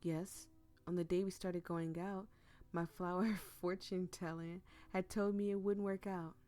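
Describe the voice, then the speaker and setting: A woman's voice: lowish voice, little pitch movement, unhurried, mellow, soft background music, lightly acted. A woman with a cold-worn voice voicing a sweet, anxious young student boyfriend in a visual novel, speaking earnestly. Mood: earnest confiding. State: losing voice with a cold.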